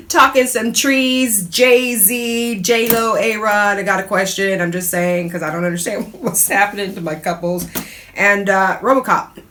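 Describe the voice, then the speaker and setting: A middle-aged woman hosting her own talk show in a chatty, casual way, laughing often and breaking into animated asides. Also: mid-range voice, unhurried, a little hiss or hum. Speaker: radio host and DJ.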